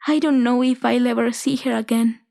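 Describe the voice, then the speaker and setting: A woman voicing an earnest, sensitive young boy in an expressive children's audiobook style. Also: fairly high pitch, a little fast, gravelly. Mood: sad, tender. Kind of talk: children's audiobook character acting.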